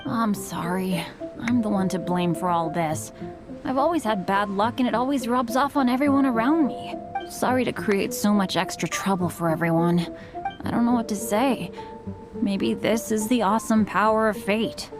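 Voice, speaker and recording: A woman's voice: mid-range voice, moderate pace, lightly acted. A woman voicing a scatterbrained, unlucky young adventurer in a video game, rambling and self-deprecating. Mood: apologetic. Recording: game audio with music.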